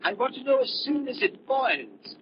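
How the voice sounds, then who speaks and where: An actor playing an embittered, paranoid older scientist who feigns kindness, in theatrical 1930s radio melodrama style. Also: male voice, extremely high pitch, sing-song, moderate pace. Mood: sly, eager. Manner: theatrical, volatile, swinging from soothing to ranting. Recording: muffled, lightly noisy 1930s radio recording.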